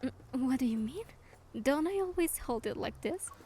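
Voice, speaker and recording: Mellow young game heroine. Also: female voice, sits high, animated, moderate pace, faint music behind. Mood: playful, evasive. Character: gentle young woman heroine narrating her secret surprise. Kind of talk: scripted game narration and dialogue.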